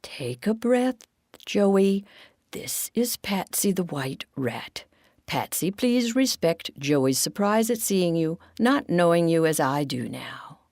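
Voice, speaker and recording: A woman's voice: on the low side, very animated, slow, a little mellow, no room sound, clean studio sound, heavily acted. A woman voicing Giddle, a proud and earnest male pack rat, speaking firmly and insistently.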